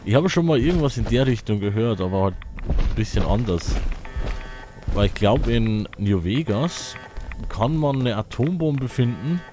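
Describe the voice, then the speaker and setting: A man with a German accent giving casual commentary as he plays a video game. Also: lowish voice. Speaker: let's play host.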